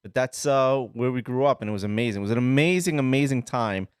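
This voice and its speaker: A man, co-hosting a casual podcast and telling rambling, animated stories with a lot of swearing. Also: medium pitch, fast talker, clean tone, no echo, studio quality. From Sicily. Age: 30s. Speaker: podcast co-host. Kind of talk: casual chat.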